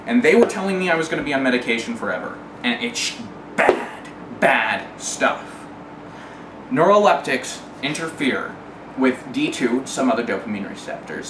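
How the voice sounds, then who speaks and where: A man recounts personal experience in a candid, wry manner, with some profanity and analytical digressions. He sits away from the microphone in an echoey room. Clear noise runs behind the voice. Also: medium pitch. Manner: wry, sardonic, mildly profane; discursive, analytical asides. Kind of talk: candid first-person oral history. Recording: roomy, distant mic, clearly noisy.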